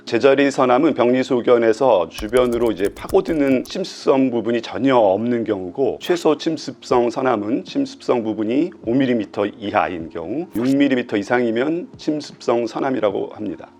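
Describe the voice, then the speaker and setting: Calm, methodical male professor explaining. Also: medium pitch, moderate pace, few pauses. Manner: calm, methodical, with thinking fillers. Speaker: pulmonology professor. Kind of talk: explanatory medical lecture.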